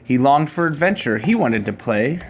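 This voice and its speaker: A man's voice: mid-range voice, lively intonation. Man reading a storybook, noisy recording. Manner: measured rhyming storybook delivery. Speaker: father reading to his child. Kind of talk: reading a children's picture book aloud.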